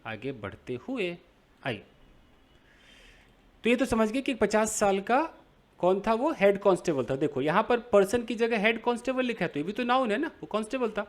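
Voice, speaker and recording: A young man with an Indian accent teaches beginners English from a newspaper. His voice swings freely up and down in pitch, and he draws out English phrases slowly before explaining them. He keeps checking in with his viewers through questions. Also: big pitch swings.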